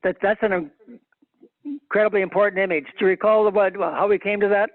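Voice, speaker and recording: A man with a high voice speaks conversationally to fellow panelists. The sound is dry and narrow, like a phone line, as he prompts others on an online call. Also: fairly even intonation, a little fast, dry recording.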